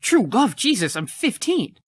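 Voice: medium pitch, sing-song, unhurried, no room sound. Actor as awkward teen, swooping intonation, stammering, determined, dry close sound, audio drama. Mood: flustered, brave. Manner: stammering, hesitant. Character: awkward, nervous but determined fifteen-year-old.

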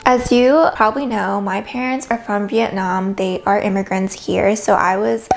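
A woman's voice: medium pitch, steady tone, normal speed, vocal fry, slight background noise. A young woman from the United States, telling personal stories to camera from a sofa in her living room, candid and reflective. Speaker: vlogger.

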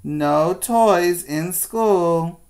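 Strict female kindergarten teacher as a man reads her, firm, scolding, pitched up, storybook narration. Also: extremely slow, a little mellow, full character voice.

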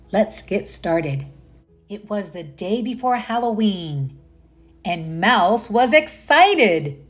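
A woman reading aloud a children's story, expressively and addressing young listeners. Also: medium pitch. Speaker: storybook reader. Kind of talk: children's read-aloud narration.

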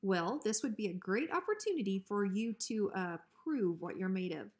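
A woman's voice: medium pitch, expressive, moderate pace, close to an everyday voice. A woman voicing a pompous, bossy but cowardly male ranch dog in a children's storybook. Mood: officious. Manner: officious, blustering.

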